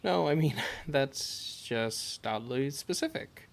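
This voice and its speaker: A man playing a caring, teasing adventurer who comforts a party member, in a gentle, halting, conversational amateur audio roleplay. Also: medium pitch, animated, vocal fry. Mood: amused, teasing.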